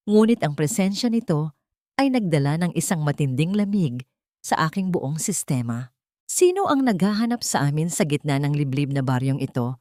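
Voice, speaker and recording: A woman's voice: sits low, darkish tone, dry recording, clean studio sound. A woman reading a dramatic first-person story as a scripted narrator.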